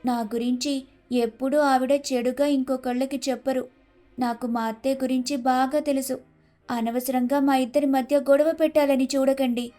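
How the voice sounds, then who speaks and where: A woman's voice: high voice, steady tone, moderate pace, mellow, faint music behind, lightly acted. A woman playing an earnest, respectful young daughter-in-law in a moral story. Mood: firmly defensive. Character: eager, grateful, trusting young daughter-in-law. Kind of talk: scripted storybook acting.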